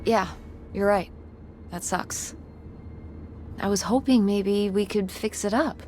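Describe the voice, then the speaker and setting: A woman's voice: mid-range voice, takes it slowly, darkish tone, soft background music, close to an everyday voice. A woman playing a worried teenage daughter in a video game, natural in delivery, pointed and sulky as she questions. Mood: disappointed, pleading. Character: worried, challenging teenage daughter named Tess. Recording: clear background ambience noise.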